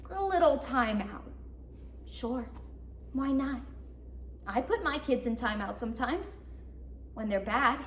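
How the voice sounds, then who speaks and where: In an echoey room, a young woman performs a bitter woman confined in a mental hospital. Her voice is high and slow, delivered as a stage monologue. She is sardonic and theatrical, moving between hushed confiding and loud emphasis. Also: exaggerated voice. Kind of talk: dramatic monologue, competition interpretation. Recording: roomy echo, faint noise, distant mic.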